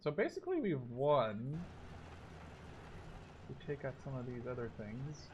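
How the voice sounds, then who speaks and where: A man in his twenties talks through a war game in a casual, sweary way, thinking out loud. His voice sits at a middling pitch, with clear noise of gunfire and vehicles from the game behind him. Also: sing-song, unhurried, dull audio. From Canada or the Netherlands. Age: 20s. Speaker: gaming video host. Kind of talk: casual let's play commentary. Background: war game artillery, gunfire and vehicles.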